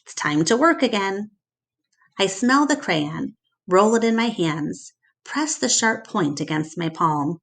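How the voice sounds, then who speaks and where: A woman reading a children's story aloud as expressive narration. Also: medium pitch, measured pace, creaky.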